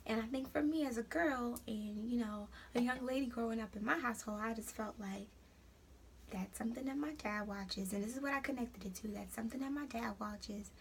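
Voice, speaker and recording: A young woman with a New York accent speaks in a slightly high, gravelly voice. She gives encouragement the way a preacher would and calls her listeners honey. A faint hiss of noise sits under the recording. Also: fairly quick. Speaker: host of an encouragement site. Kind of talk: casual motivational vlog.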